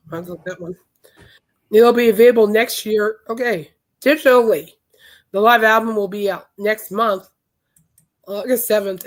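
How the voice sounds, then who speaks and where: Hoarse chatty woman podcast host. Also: medium pitch. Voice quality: raspy, worn throat. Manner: chatty, enthusiastic storytelling. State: hoarse, voice shot from illness. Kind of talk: casual rambling chat.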